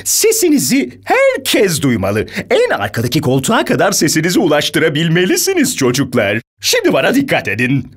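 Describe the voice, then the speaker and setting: A man's voice: on the high side, huge pitch swings, normal speed, hardly any pauses, slightly rough, heavily acted. An actor playing a vain, pompous male stage star in a dubbed cartoon. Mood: energetic. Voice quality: pompous, grandiose. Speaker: dub voice actor. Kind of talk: Turkish cartoon dub. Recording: cartoon soundtrack with music.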